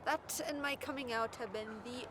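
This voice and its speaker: A woman's voice: on the high side, normal speed, fairly bright tone, lightly acted. A woman acting as an upbeat, quirky young woman in a naturalistic, conversational comedy, a little hesitant and self-deprecating. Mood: sheepish. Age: young adult. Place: outdoors in a park. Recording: noisy outdoor ambience, traffic hum.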